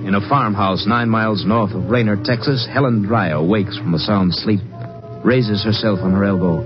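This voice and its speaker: A man's voice: lowish voice, normal speed. A man reading scripted narration for a 1950s radio crime drama. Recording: muffled old radio, music behind.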